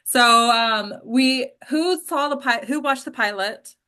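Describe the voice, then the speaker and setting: A woman's voice: high voice, unhurried, bright, forceful tone. A woman hosting and coaching actors in an informal talk, frank and addressing her listeners directly. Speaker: host and acting coach.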